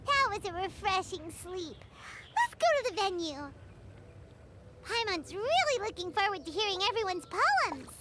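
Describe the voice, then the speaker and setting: A woman voicing a small, excitable childlike fairy companion in a video game, squeaky and exclamatory. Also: normal speed, very noisy. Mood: cheerful. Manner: exclamatory, refers to self in third person. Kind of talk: video game character dialogue.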